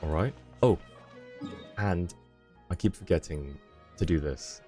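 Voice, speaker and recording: A man's voice: low-pitched, takes it slowly, warm tone, faint music behind. A man live-streaming a video game, chatting casually with viewers as he plays. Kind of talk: casual chat while playing a game for viewers.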